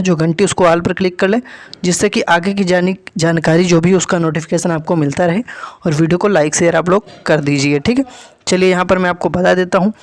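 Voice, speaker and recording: A man's voice: sits high, no room sound. A man giving an informal, instructive explainer as an online exam coach, repeating points and often adding check-in tags.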